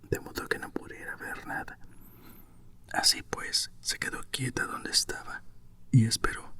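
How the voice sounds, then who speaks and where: A man narrates a storybook entirely in a sharp, airy whisper. His accent is from Spain. The hiss of his breath is bright and crisp on every phrase, in an ASMR style. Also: unhurried. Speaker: narrator reading a children's story.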